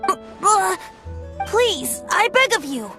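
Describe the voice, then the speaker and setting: High-pitched, playful boy bard. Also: sing-song, slow, music in the background, full character voice. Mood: pleading. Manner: theatrical, teasing, flowery speech. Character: playful, boastful young male bard, secretly a god. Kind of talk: video game voice acting.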